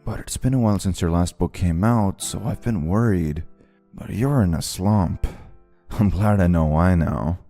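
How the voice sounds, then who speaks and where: A man's voice: sits low, moderate pace, dark tone, soft background music. An actor playing an earnest, easily flustered young man in a romantic comedy anime-style dub. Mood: gentle, sincere.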